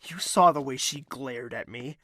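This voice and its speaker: A man's voice: mid-range voice, normal speed, fairly bright tone, lightly acted. A man playing a lovesick, stubborn young prince in an audio drama, earnest, formal and melodramatic. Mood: determined.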